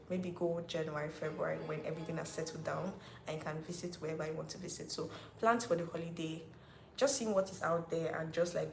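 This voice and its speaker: Nigerian girl in her 20s chatting on a live stream, kinda low voice, echoey room. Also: a little mellow, slight background noise. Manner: chatty, reads out viewer comments, says "like" often. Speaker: YouTuber hosting a live Q&A. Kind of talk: casual livestream chat. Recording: roomy echo.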